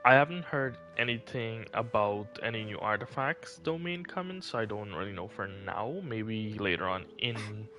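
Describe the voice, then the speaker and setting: A man's voice: on the low side, normal speed, few pauses, pushed and bright, soft background music. A man chatting casually on a live game stream, rambling and thinking aloud as he goes.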